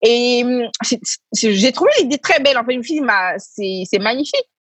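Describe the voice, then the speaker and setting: A woman's voice: on the high side, lively intonation, a little fast, breathy edge, no room sound. A woman speaking as an interview guest about reading workshops, talking in a hesitant way and repeating words as she searches for them. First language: Senoufo heritage, speaks it only at a basic level. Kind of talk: conversational interview answers.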